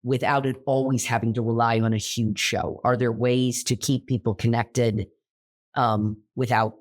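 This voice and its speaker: A man's voice: mid-range voice, little pitch movement, normal speed. Male co-host, theater director type, rambling casual chat with lots of ums and restarts, getting over a cold. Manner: rambling, many fillers and restarts. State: recovering from illness.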